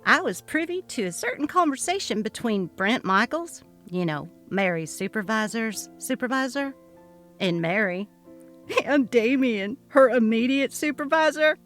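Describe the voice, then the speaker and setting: A woman's voice: a little high, expressive, unhurried, a bit bright, faint music behind. A woman voicing a sassy, emphatic female coworker who loves gossip, in a dramatic story reading. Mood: gleeful, gossipy.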